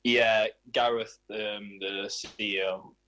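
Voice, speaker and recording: A man's voice: fairly low pitch, level tone, slow, pushed and bright. A man in his twenties, a footballer, answering questions in a casual radio interview. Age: 20s.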